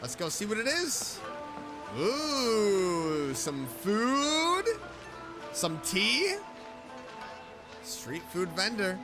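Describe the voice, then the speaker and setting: A woman's voice: mid-range voice, sing-song, very slow, pauses often, over music. A woman streamer giving casual, informal, reactive commentary as she plays a game.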